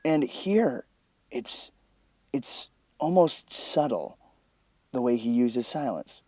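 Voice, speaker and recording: A man's voice: mid-range voice, expressive, takes it slowly, mellow, no echo. A man giving enthusiastic film scene commentary as a host, with emphatic, dramatic phrasing.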